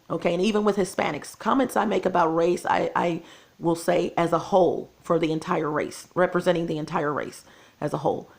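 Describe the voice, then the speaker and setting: Gravelly-voiced woman vlogging. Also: mid-range voice. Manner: earnest, repetitive, addresses viewers directly. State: losing her voice. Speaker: channel host. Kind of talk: casual vlog monologue.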